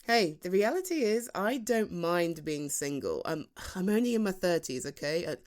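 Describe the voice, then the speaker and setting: A British woman playing a teasing, self-deprecating best friend in her late thirties in a chatty, halting ASMR roleplay monologue. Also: on the low side, big pitch swings, a little mellow. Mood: wistful, light. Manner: halting, chatty, with sighs and chuckles. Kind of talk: ASMR roleplay acting, intimate conversational monologue.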